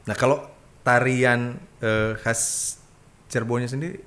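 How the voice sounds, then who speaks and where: A man hosting a podcast interview, unhurried, with many short agreeing hums. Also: mid-range voice, steady tone, a bit bright. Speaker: podcast host. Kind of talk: casual interview.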